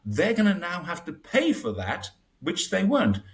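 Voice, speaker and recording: A man speaks as a guest in a casual interview, and his pitch swings widely. He is wry and acts out quotes as he goes, with a short laugh here and there. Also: huge pitch swings, normal speed. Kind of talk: casual conversational commentary.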